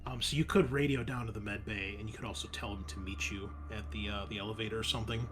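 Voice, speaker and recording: A man running a tabletop horror game as game master, narrating off the cuff in a halting, think-aloud way. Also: on the low side, steady tone, noisy background.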